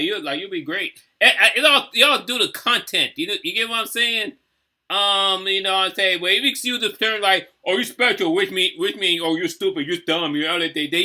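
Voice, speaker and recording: Bright-voiced man rambling through commentary. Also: on the high side. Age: 30s. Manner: rambling, repeats "you know what I'm saying". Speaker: reaction video host. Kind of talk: casual reaction commentary.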